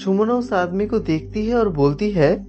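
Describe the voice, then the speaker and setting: A man reading scripted narration for an animated moral story. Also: high voice, moderate pace. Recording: muffled audio with background music.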